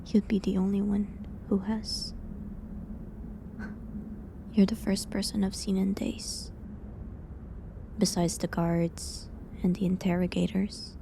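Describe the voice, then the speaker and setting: A woman playing a gentle, sorrowful village herbalist condemned as a witch, speaking in a hushed, halting way in a dramatic audio roleplay. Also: medium pitch, slight background noise. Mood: touched, wistful. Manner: hushed, breathy, halting delivery.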